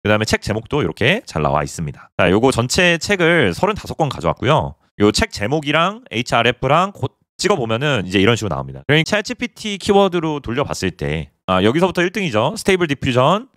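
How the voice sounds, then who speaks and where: A man's voice: mid-range voice, wildly swooping pitch, quick pace, few pauses, a bit bright, dry recording, clean studio sound. A man teaching a screen-recorded coding tutorial, explaining step by step as he points things out on screen. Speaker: programming instructor.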